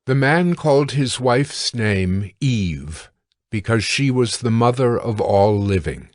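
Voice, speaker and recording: A man narrating scripture from a script in a measured, solemn way. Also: medium pitch, lively intonation, no echo, studio quality.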